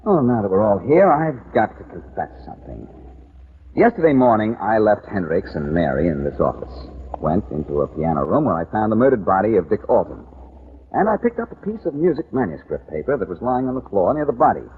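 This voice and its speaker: A breezy, wisecracking detective with a lowish voice that swoops freely up and down, teasing as he talks. The sound is thin and tinny, like an old radio broadcast, with a light hiss underneath. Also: very animated, fairly quick. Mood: wry. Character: wisecracking reformed-thief male detective. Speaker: lead detective. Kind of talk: 1940s radio acting.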